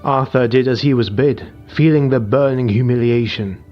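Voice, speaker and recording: A male audiobook narrator reads dark fantasy at a steady, measured pace in a somber tone. His voice sits at a middle pitch. The audio is a bit dull and muffled, with quiet music playing underneath.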